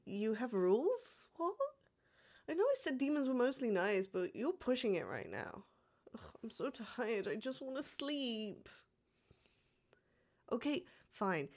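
A man's voice: very high-pitched, full character voice. A man playing a bashful young catboy demon who feigns toughness, stammering and pouting in an intimate roleplay audio. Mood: indignant, pouty. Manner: hesitant, stammering, pouty, softly sighing.

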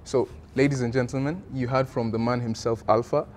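A young man hosting an outdoor interview, friendly and halting, with lots of fillers. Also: mid-range voice, fairly even intonation, a little mellow, a little hiss or hum. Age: young adult. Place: outdoor courtyard garden.

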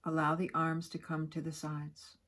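A woman guiding a yoga nidra relaxation in a soft, breathy, soothing voice, giving gentle instructions with long pauses. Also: sits low, completely flat delivery. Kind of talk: guided relaxation meditation.

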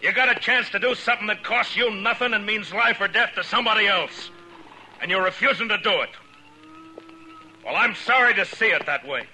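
An actor playing a firm, dry Old West marshal in a 1950s radio western, speaking with deliberate authority. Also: male voice, high voice, bright, forceful tone, husky, exaggerated voice, poor audio. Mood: stern, commanding. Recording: hissy 1950s radio broadcast.

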